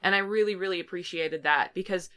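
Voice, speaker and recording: A woman's voice: on the low side, fast talker, fairly bright tone, clean tone. A woman co-hosting a podcast, talking casually and ramblingly in an informal, teasing film review chat. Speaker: podcast co-host.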